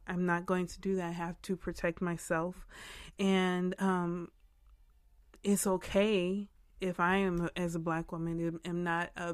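A young American woman hosting a podcast, speaking softly with many ums and restarts in a casual interview chat. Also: lowish voice, smooth. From Michigan, USA. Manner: soft-spoken, with frequent ums and restarts. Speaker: podcast host.

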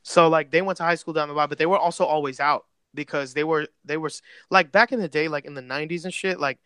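Bright-voiced young man, easygoing slang. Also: on the high side, steady tone, fast, smooth, no echo, clean studio sound. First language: Spanish. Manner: laid-back, slangy, rambling storytelling. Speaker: podcast guest. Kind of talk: casual chat.

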